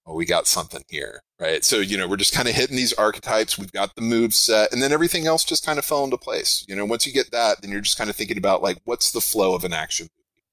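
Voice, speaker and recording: A middle-aged man with a big, projecting voice, pitched a little low, with a bright, forceful edge. He talks in a rambling, animated way, often restarting his sentences. The sound is close and dry. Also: quick pace, no echo, rough recording. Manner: rambling, self-interrupting, animated. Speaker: game designer guest. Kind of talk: podcast interview.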